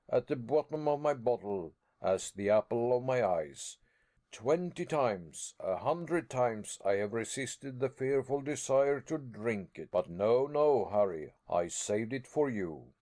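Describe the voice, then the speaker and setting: A man's voice: medium pitch, lively intonation, slow pace, dark tone. A man voicing a stubborn older professor and explorer who refuses to turn back, tender at first and then harsh and commanding.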